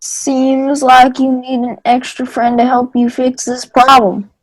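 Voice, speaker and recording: A plain-spoken woodland critter acted by a woman in a children's play. The voice is slightly high and very unhurried, with a dry, close sound. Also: flat delivery, no echo, rough recording. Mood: firm, helpful. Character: plain-spoken animals: timid tiny squirrel, big helpful bear. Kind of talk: readers theater for children.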